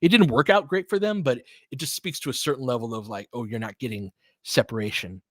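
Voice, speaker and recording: A man's voice: medium pitch, fairly quick, no echo, studio quality. A man hosting a casual live basketball stream, mixing play-by-play with conversational, slangy analysis as he thinks aloud. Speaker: host and play-by-play commentator.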